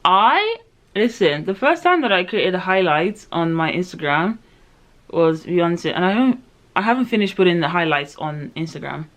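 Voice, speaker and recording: A woman in her late twenties talking to her camera while doing her makeup, chatty and reflective, rambling with lots of "um" and "like", with sudden excited jumps in pitch. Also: normal speed. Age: late 20s. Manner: chatty, rambling, heavy use of fillers like "um" and "like". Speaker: vlogger. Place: at home in front of her own camera.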